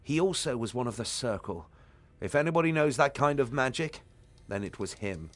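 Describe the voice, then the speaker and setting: An actor playing a dutiful soldier lieutenant in a fantasy video game, giving a plain, earnest report. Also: male voice, mid-range voice, lively intonation, normal speed, close to an everyday voice. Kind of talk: dramatic video game acting.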